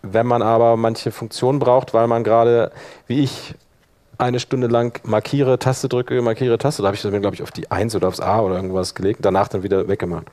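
Male workshop instructor, mid-pitched, informal and hands-on, thinks aloud while demonstrating software. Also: level tone, fairly quick.

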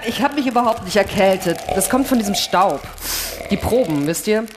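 A woman's voice: medium pitch, expressive, brisk, close to an everyday voice. A woman playing a weary, grumbling astronaut on the moon in a staged radio-play reading. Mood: dry, irritated. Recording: reverberant hall with loud noise and clicks.

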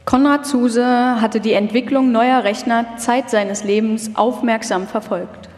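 A woman narrates a documentary from a script, her voice a little on the high side. She is heard in a large hall with long reverb. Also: fairly even intonation, a little slow, darkish tone. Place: large hall, live performance.

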